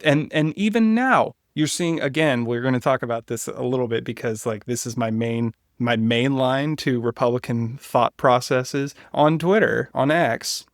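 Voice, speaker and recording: A man with a medium-pitched voice talks politics casually, as a podcast co-host does. His delivery is informal and opinionated, and the sound is dry, with no room echo. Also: moderate pace, few pauses.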